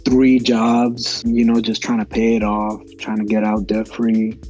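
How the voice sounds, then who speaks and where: Mellow-voiced young man, relaxed chat. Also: lowish voice, a little slow, faint music behind, low-quality recording. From Massachusetts. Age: 20s. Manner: relaxed, many fillers and restarts. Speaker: actor guest. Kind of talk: casual interview answers.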